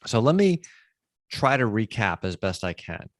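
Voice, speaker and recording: Low-voiced male podcast host, dry sound. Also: very animated, normal speed, dry recording. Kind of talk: conversational podcast interviewing.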